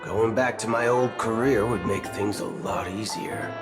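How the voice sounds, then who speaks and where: A man's voice: lowish voice, unhurried, close to an everyday voice. A man voicing a hot-tempered cyborg gunslinger who swaggers, mocks and swaps his curses for mild words. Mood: scheming. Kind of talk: video game voice acting. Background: game music.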